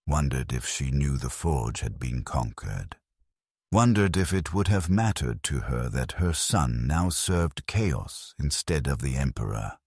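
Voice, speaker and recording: A man reading a scripted first-person story as a narrator, in a somber, measured way. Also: very deep voice, darkish tone, dry recording, studio quality.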